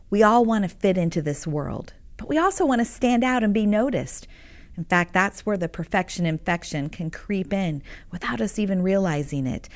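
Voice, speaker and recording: A woman author calmly teaching parents in a scripted, earnest and encouraging narration. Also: on the low side. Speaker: author and curriculum host.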